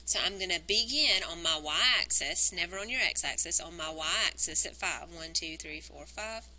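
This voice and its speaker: A woman's voice: lowish voice, moderate pace, sharp tone, slight background noise, rough recording. Woman teacher counting points, losing her voice. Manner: explains step by step, counting points aloud. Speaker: math teacher. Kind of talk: recorded math lesson, working through graphs. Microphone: wired microphone on a cord.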